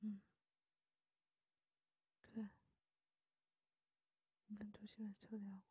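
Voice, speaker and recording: Woman as cautious vampire schemer for ASMR role-play; dark, soft whisper, even and unhurried. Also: mid-range voice. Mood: hushed, conspiratorial. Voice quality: breathy, hushed whisper. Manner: unhurried, repetitive, conspiratorial.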